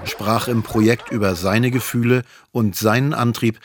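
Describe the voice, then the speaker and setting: A man reading a scripted news report voice-over in an even, formal manner. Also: mid-range voice, moderate pace, slight background noise. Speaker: news narrator.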